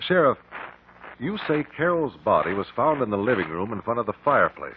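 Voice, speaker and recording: Calm male investigator, lowish lively voice, courteous probing questions, narrow vintage radio sound. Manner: measured, polite, precise questioning. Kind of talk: 1950s radio drama acting. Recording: narrow-band, faintly noisy old radio recording.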